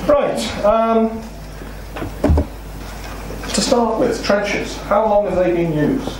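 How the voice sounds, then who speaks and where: A man's voice: sits high, takes it slowly. A middle-aged man with a Welsh accent delivers a seminar lecture, explaining points steadily and throwing in rhetorical questions. His voice is caught by a distant mic in a very echoey meeting room. A faint hum of room noise sits underneath. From Wales. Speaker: seminar speaker. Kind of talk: illustrated lecture to a seated audience. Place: meeting room with a seated audience. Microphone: far room recording, no mic seen.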